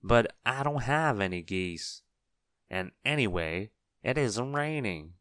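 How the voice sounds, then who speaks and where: A man playing a slow, puzzled storybook farmer. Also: on the low side, animated, a bit bright, smooth, no echo, studio quality. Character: slow-spoken, puzzled male storybook farmer, mild and sensible.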